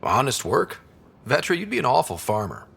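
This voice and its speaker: A man's voice: medium pitch, wildly swooping pitch, moderate pace, creaky, pushed and bright, slightly rough, full character voice. A man playing an earnest young space explorer in a video game, speaking in a plain, direct, easygoing way. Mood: friendly, casual.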